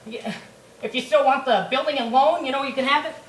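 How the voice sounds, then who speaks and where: A woman's voice: high voice, a little fast, reverberant, recorded from a distance, slight background noise, lightly acted, rough recording. A woman voicing a desperate young man who stammers and begs for help. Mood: pleading. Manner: stammering, repeats words.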